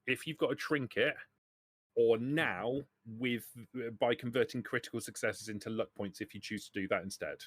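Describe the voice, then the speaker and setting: A man's voice: medium pitch, fairly even intonation, normal speed, dry recording. A man acting as game master, explaining the rules of a tabletop role-playing game.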